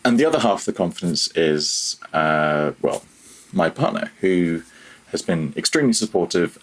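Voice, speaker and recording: A man's voice: very deep voice, rough recording. A man talking casually as an interview guest, reflective and self-deprecating about himself. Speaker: podcast guest, freelance audio programmer.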